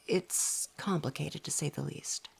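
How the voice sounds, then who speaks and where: A man playing a gentle, coy and flirty forest spirit in an ASMR roleplay, speaking softly, at times breathily, in a formal, reassuring way. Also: on the high side, a little slow, creaky, husky, full character voice. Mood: gentle, helpful.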